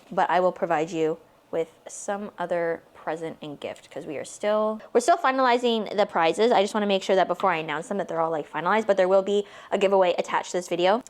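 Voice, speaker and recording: Late-20s woman vlogger from Canada, quick rambling chatter, lots of "like", casual and talky. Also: medium pitch. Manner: rambling, heavy use of "like"; talks with her hands. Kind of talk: casual chatty vlog while baking.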